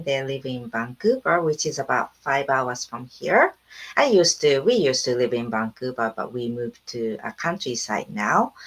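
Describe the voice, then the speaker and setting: Low-voiced middle-aged woman from Japan. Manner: soft-spoken, laughs often, simple phrasing. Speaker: interview guest, online business owner. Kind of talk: casual video interview.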